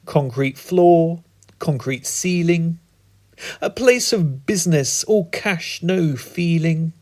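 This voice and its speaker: A British man reciting comic spoken-word poetry in a dramatic, whimsical, deliberate manner. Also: on the high side, lively intonation, darkish tone. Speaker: performance poet.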